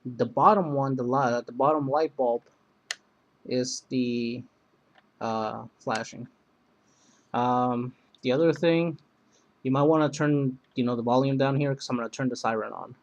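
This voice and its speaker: A man casually demonstrating and comparing two emergency radios, rambling with many pauses and fillers. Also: mid-range voice, dull audio. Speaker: product reviewer. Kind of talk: casual product demo.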